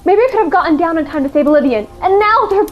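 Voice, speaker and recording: A woman's voice: very high-pitched, expressive, fast talker, highs cut off. A woman acting a stubborn, impulsive, fiercely loyal young half-elf in a fantasy radio play, earnest and full of questions. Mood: guilty grief. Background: music under the voice.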